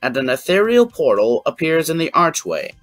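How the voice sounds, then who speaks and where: A man narrating a scripted fan fiction story in an expressive way. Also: a little high, moderate pace, faint music behind.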